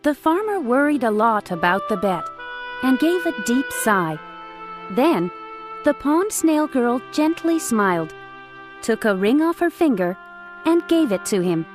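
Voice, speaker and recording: Slow, lively female fairytale storyteller. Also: on the high side. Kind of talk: scripted children's fairytale narration. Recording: music bed behind.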